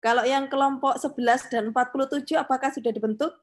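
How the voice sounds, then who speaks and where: A woman's voice: sits high, fairly even intonation, fast talker. A woman runs an online meeting as a lecturer. She speaks informally, directing people and checking in with questions. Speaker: lecturer supervising a student group meeting.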